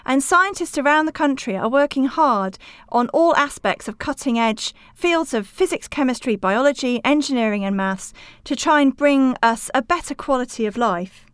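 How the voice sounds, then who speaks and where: A woman's voice: sits high, lively intonation, moderate pace, smooth, no room sound, clean studio sound. A woman from Wales giving articulate, explanatory answers as an interview guest on science outreach. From rural Wales.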